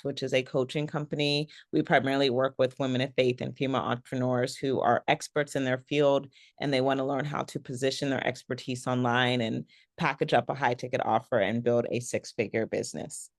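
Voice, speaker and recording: A woman business coach speaking as a podcast guest, enthusiastic and animated, her voice a little raspy after a long event. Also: a bass voice, no room sound, studio quality. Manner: animated, enthusiastic, quick to explain. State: slightly raspy after a three-day event. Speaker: guest, personal branding coach. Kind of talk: podcast interview conversation.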